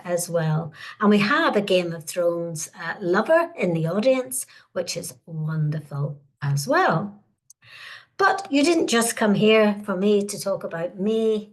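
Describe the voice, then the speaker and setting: A woman in her sixties from Northern Ireland with a Northern Irish accent, hosting an online webinar in a hesitant, welcoming way full of fillers. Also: fairly low pitch. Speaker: webinar host.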